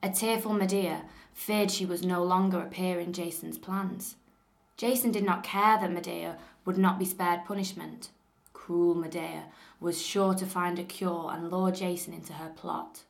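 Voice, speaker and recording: A young woman with a Lancashire accent, reading a passage in a clear, measured way and then chatting casually about herself. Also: lowish voice. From northern England. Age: young adult. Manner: clear, measured reading; relaxed and chatty when talking freely. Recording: roomy echo.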